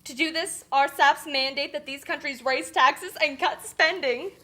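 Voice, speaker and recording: An officious lending official in a puppet show, acted by a woman. The voice is high and bright, authoritative and explanatory. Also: a little slow. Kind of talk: scripted educational puppet show.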